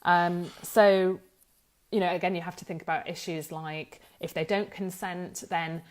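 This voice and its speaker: Woman explaining, slightly low voice. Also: steady tone, a little slow. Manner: hesitant, thinking aloud, self-correcting. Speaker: data protection law expert presenting an explainer. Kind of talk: informal explanatory talk to camera.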